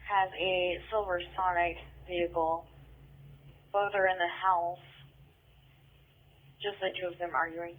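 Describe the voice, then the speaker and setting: A woman's voice: fairly low pitch, level tone, unhurried, pauses often. A woman with an American accent dispatching over police radio, relaying call details in a clipped, matter-of-fact way. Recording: tinny narrow-band police scanner radio.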